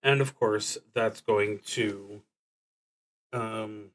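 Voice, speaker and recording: Guy streaming a solo rpg, kinda low voice, real slow, thinks out loud w lots of pauses. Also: fairly even intonation. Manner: hesitant, thoughtful, frequent long pauses. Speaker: streamer, solo roleplaying game player. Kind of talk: musing aloud while playing a solo tabletop game, reading out card prompts.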